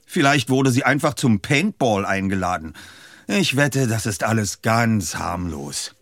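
An actor playing an earnest, eager young detective in radio play dialogue. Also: male voice, fairly low pitch, expressive, a little slow, fairly bright tone, no room sound. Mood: keen.

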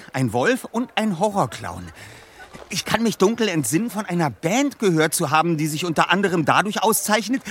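A precise, self-important teenage detective in an audio drama. He speaks in stilted, elaborate sentences with a fairly high male pitch and a bookish manner. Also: fairly bright tone, slight background noise. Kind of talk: German audio drama acting.

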